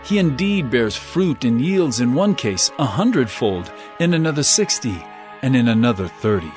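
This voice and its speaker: An actor playing an earnest male teacher of parables in a dramatized scripture reading, with measured, emphatic, declamatory phrasing. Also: mid-range voice, very animated, music in the background, close to an everyday voice. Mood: earnest, solemn.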